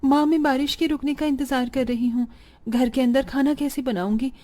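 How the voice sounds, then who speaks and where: A woman playing tender, sorrowful women of a poor family in an animated moral story. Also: high voice, normal speed, lightly acted. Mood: caring. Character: poor, caring mother or dutiful daughter, sorrowful.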